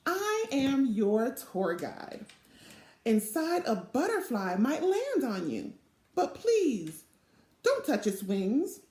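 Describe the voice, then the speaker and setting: A woman reads the part of a polite, instructive tour guide lady in a children's story. She speaks slowly and precisely in a high voice. The room rings a little around the words. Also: wildly swooping pitch, darkish tone, roomy sound, exaggerated voice. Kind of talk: children's storybook character reading.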